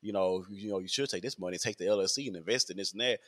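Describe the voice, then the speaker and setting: A man's voice: deep voice, rapid-fire, fairly bright tone. A man, talking in a rambling casual podcast monologue full of fillers and repeats. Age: 20s.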